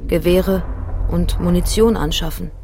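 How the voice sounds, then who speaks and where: An actor in a German radio drama playing a bitter, impoverished adult who recites a household's ruin in deliberate phrases. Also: mid-range voice, fairly even intonation, gravelly, noisy background. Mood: bleak, accusing. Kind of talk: stylised radio drama monologue. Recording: loud music behind the voice. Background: music.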